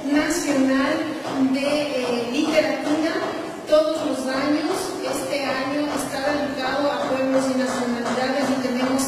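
A woman's voice: sits high, steady tone, measured pace. A woman giving a formal welcome speech and thanks as an event organizer. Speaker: festival organizer giving opening remarks. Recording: very echoey hall, distant mic, noisy.

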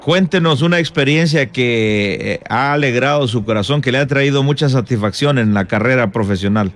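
A man's voice: medium pitch, moderate pace. A man hosting an interview programme, presenting and asking questions in a conversational broadcast style. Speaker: radio show host, interviewer. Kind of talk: scripted introduction and conversational interviewing.

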